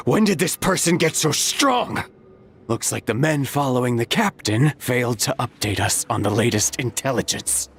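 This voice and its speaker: An actor playing a haughty, money-minded mercenary debt collector in a video game, speaking in declamations. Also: male voice, mid-range voice, a bit bright. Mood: grudging, annoyed.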